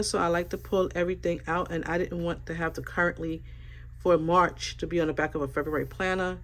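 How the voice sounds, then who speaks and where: A woman's voice: fairly low pitch, a little hiss or hum. Stuffy-voiced woman vlogger. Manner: relaxed, rambling, many fillers. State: stuffy from a sinus infection. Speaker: planner vlogger. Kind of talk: casual planner flip-through.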